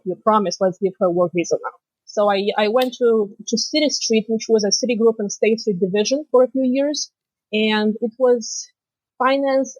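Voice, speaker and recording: A woman from Moldova with a Russian accent, native Romanian speaker, telling her story as an interview guest with lively storytelling. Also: medium pitch, no room sound, highs cut off. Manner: lively storytelling, laughs easily. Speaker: guest, financial planner. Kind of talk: casual interview chat.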